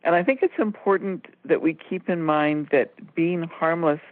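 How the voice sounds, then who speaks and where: A woman's voice: lowish voice, dry recording. Woman co-host on a thin phone line. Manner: measured, deliberate, explanatory. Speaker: radio show co-host. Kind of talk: conversational explanatory talk radio. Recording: tinny phone line on radio.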